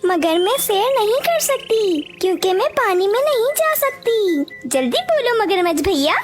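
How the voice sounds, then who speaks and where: A woman's voice: very high voice, very animated, unhurried, pitched above the performer's own voice, full character voice. A woman voicing a cheerful, friendly little female bird in a sweet, childlike way for a cartoon story. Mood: friendly, eager. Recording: music behind the voice.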